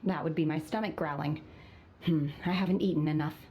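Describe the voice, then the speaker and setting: A cool, detached young woman played by an actress in a video game, low and very mellow. She speaks softly and breathily, blunt and quietly menacing, over faint noise. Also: brisk, natural voice. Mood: matter-of-fact. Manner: calm, detached, matter-of-fact.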